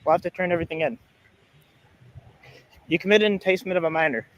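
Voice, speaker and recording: A man in an on-the-street confrontation, speaking insistently and repetitively, with some halting starts. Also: high voice, steady tone, dull audio. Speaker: citizen investigator confronting a stranger. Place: outdoors in a public place. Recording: outdoor recording with faint ambient noise.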